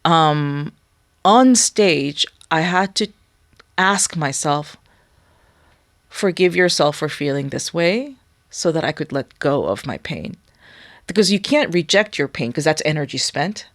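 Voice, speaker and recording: A young woman whose first language is Korean, speaking thoughtfully in a studio interview and often pausing to search for words. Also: sits low, expressive, no echo. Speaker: guest musician. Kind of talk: reflective interview talk.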